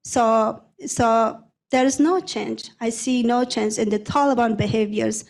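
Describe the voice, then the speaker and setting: A young adult woman from Afghanistan answers questions on a discussion panel. Her voice sits somewhat high, and her phrases come in halting bursts full of restarts and repeated words. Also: a little mellow, rough recording. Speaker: panel guest, former prosecutor.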